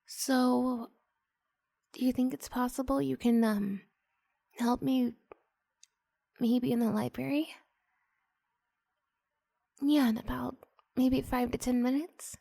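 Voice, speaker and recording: A woman voicing a shy, flustered, adoring female student in an ASMR roleplay, hesitant and gushing in breathy half-whispers. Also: high-pitched, steady tone, slow pace, pauses often, mellow, pitched above the performer's own voice, heavily acted. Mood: shy, hopeful.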